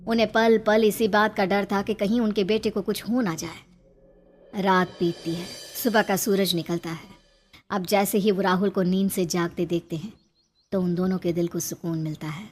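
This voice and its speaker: A woman's voice: medium pitch, little pitch movement, normal speed, faint music behind. A woman giving scripted narration of a horror story as its narrator.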